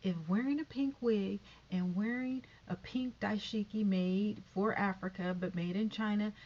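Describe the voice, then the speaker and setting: A woman's voice: mid-range voice, a little slow, warm tone, lo-fi sound. A woman in her fifties vlogging in a rambling, chatty way, with sighs and whispered asides. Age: 50s. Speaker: YouTube vlogger. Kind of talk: rambling casual vlog.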